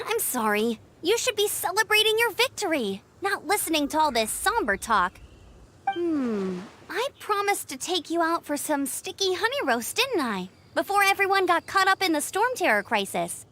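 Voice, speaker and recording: A woman's voice: sits high, slight background noise. A woman playing a spirited, earnest young scout in an anime-style fantasy video game. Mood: apologetic, inviting. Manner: perky, earnest, expressive.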